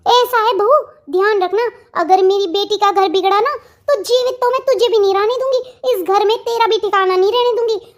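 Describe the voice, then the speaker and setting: A woman playing a stern middle-aged village mother in a family cartoon, scolding in a dramatic, emphatic way. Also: extremely high pitch. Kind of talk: melodramatic family cartoon dialogue.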